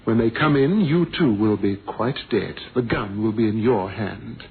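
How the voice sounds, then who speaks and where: An actor playing a cold, vain, calculating murderer with a suave, condescending, theatrical manner in a radio drama. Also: male voice, on the low side, normal speed, gravelly. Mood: gloating, condescending. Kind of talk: 1970s radio drama acting. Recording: tinny, noisy old radio broadcast.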